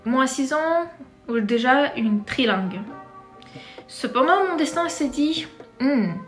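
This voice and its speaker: Lively young woman, high-pitched voice. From Crimea, Ukraine. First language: Crimean Tatar. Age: 20s. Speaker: vlogger. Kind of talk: personal storytelling to camera.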